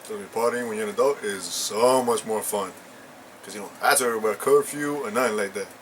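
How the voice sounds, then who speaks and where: A man's voice: fairly low pitch, fast talker, a little hiss or hum. A young man chatting casually and telling a rambling story, full of slang and fillers. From Puerto Rican and Ecuadorian family. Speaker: co-host.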